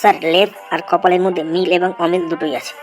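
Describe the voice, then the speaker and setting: A woman's voice: fairly low pitch, level tone, fast, darkish tone, faint music behind, natural voice. A woman voicing an eager, cheeky, long-winded schoolboy in a comedy cartoon, speaking earnestly and respectfully. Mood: earnest reciting. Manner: deferential, earnest, rambling classroom recitation. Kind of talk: Bengali comedy cartoon voice acting, recitation.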